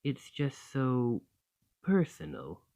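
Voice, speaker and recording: A man voicing a gentle, bashful dragon in a romantic ASMR roleplay, tender and hesitant, doting on a partner. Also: mid-range voice, expressive, slow, vocal fry. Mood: flustered, embarrassed. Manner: flustered, hesitant, tender, with sighs and stammers.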